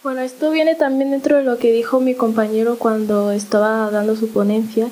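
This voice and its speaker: A woman speaking at a public talk, measured and halting, pausing often with "eh". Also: on the high side, darkish tone, rough recording. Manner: halting and measured, with pauses and "eh" fillers. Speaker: panel speaker.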